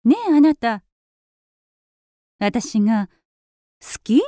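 A woman's voice: on the high side, drawn-out words, warm tone. A woman playing an impulsive, vain upper-class young wife in a radio drama, coy and theatrical as she coaxes. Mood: coy, wistful.

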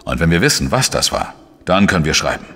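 A male actor playing a shrewd, dry investigative journalist who talks in a measured, explanatory way in a crime radio play. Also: sits low, big pitch swings, fairly bright tone, big-room sound, full character voice. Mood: measured reasoning. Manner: measured, explanatory, matter-of-fact. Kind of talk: German crime radio play, narration and dialogue.

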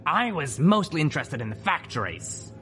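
A man voicing a gruff, shrewd older female griffin mercenary leader with a folksy, assured manner. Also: on the high side, very animated, moderate pace, fairly bright tone, slight background noise, voice pushed up. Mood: blunt, skeptical.

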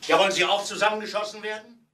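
An actor playing a calm police inspector in a crime radio play, questioning insistently and deliberately. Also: male voice, high-pitched, far from the mic. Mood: probing. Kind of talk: dramatic radio play acting.

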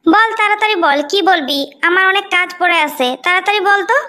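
Woman acting a high-pitched, nagging sister-in-law. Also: quick pace, pushed and bright. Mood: impatient, scolding. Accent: Bangladeshi. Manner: scolding, nagging. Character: impatient, resentful sister-in-law running the household kitchen. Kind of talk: animated moral story dialogue.

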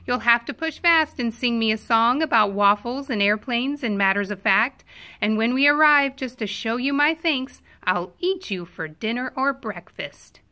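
A grown male storybook tiger, read aloud by a woman, gives impatient orders in a bright, forward voice. He is bossy and faintly menacing. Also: fairly high pitch, animated, normal speed. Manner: commanding, impatient.

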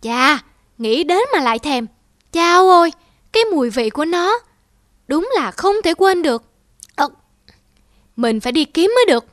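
A woman's voice: high-pitched, animated, moderate pace, pauses often, no echo, clean studio sound. A woman voicing a greedy, boastful young wolf in a cartoon, with music behind the voice. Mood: greedy, smug. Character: greedy young wolf boasting and hunting for tasty striped prey. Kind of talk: cartoon voice acting. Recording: loud music under the voice.

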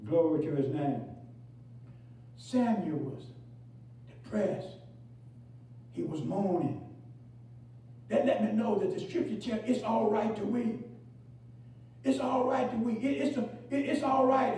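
A man with a very high voice preaches in a booming, echo-filled space, heard from well back from the mic. He repeats phrases, punctuates them with praise and hallelujah, and swings from shouts down to low murmurs while calling for the church to respond. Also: slight background noise. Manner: fills pauses with "praise God" and "hallelujah"; calls for congregation responses. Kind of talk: preaching a sermon. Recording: very echoey, from far off.

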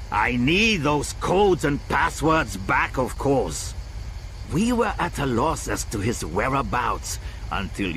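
An actor playing a smooth, calculating male crime boss in a video game, formal and deliberate. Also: medium pitch, a bit bright, a little hiss or hum, exaggerated voice, low-quality recording. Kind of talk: video game cutscene acting.

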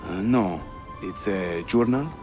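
An actor playing a jovial, roguish Turkish assassin, a teasing mentor with a Turkish accent and playful delivery in a video game. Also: male voice, low-pitched, takes it slowly, faint music behind. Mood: curious, friendly. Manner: playful, animated. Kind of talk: cinematic video game acting.

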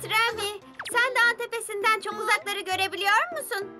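A woman's voice: very high voice, normal speed. A woman voicing an eager, curious, childlike little boy bus in a dubbed children's cartoon. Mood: curious, cheerful. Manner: exclamatory, wide-eyed, childlike. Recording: loud children's music behind.

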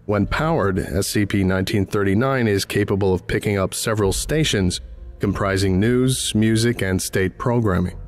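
A man reading scripted documentary-style narration in a formal, clinical, measured way. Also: on the low side, faint music behind.

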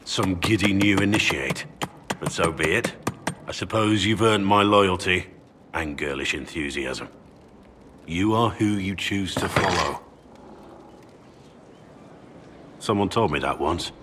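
An actor playing a rugged, loyal, reflective middle-aged warrior in a fantasy game, speaking in a measured, wistful way. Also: male voice, low voice, very noisy. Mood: earnest, reflective. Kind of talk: dramatic fantasy game dialogue.

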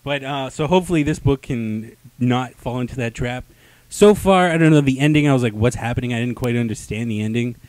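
A young man with a medium-pitched voice hosts a casual review podcast. He speaks into a handheld microphone, and the sound is dry with little room echo. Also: brisk, few pauses, darkish tone. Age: young adult. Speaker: podcast host. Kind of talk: casual comic book review chat. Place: small room, indoors.

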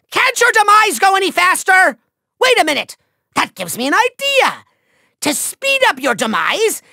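A man voicing a small, megalomaniac cartoon villain in a video game, with a shrill, gravelly, nasal voice and bombastic, gloating, theatrical delivery. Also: bright, forceful tone, dry recording, studio quality, full character voice. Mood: mocking, impatient. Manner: bombastic, gloating, sneering delivery with drawn-out words.

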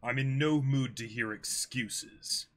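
A man voicing a contemptuous pirate captain, stern and measured, in a dramatic manga reading. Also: medium pitch, a bit bright. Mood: cold stern. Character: cold, contemptuous pirate captain scolding underlings.

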